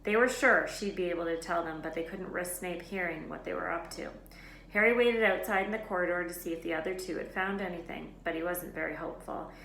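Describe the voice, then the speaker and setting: Woman storyteller, expressive book narration, slightly low pitch, bright tone, echoey room, faint background noise. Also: brisk, gravelly. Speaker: read-aloud storyteller.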